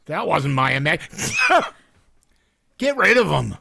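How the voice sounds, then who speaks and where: Woman doing a grumpy old radio-play dad, gravelly and stammering, drawn out slow. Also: low voice, big pitch swings, sharp tone, no echo, full character voice. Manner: gruff, stammering, coughing. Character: grumpy older father, sneezy, hates roses. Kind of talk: 1940s radio acting.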